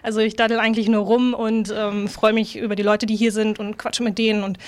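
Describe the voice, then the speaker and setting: A woman's voice: mid-range voice, level tone, a little fast. A woman in her twenties, a table football player, talking in a chatty, easygoing and self-deprecating way. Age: 20s. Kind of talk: interview statements.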